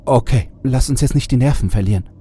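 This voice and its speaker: A hard-edged young woman from a horror audiobook, acted by a man. The voice is lifted in pitch, quick and curt, as someone forcing herself to keep calm. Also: slight background noise, natural voice. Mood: cold, hurried.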